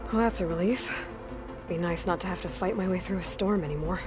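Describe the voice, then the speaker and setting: Woman as a low-voiced, inquisitive young game heroine. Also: brisk, music in the background, natural voice. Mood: casual. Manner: direct, plain-spoken questioning. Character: determined, inquisitive young heroine, pensive and direct. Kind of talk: scripted video game dialogue.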